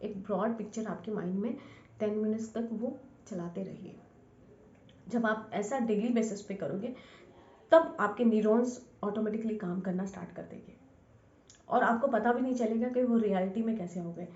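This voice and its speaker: A woman's voice: mid-range voice, normal speed, a little mellow. A woman giving an informal instructional talk, addressing the listener directly, repeating phrases and mixing in English terms. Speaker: instructor, scientist. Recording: echoey room, dull lo-fi sound.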